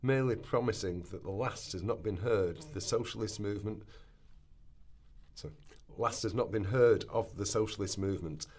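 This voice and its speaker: A man's voice: lowish voice, normal speed, darkish tone, lightly acted. A man playing an earnest early twentieth-century socialist parliamentarian, giving a formal, declamatory speech in a radio play rehearsal.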